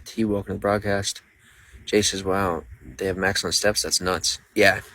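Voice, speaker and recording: A man's voice: deep voice, little pitch movement, moderate pace, fairly bright tone. A young man streaming live, rambling casually while greeting viewers by name and reading out their comments. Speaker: livestreamer.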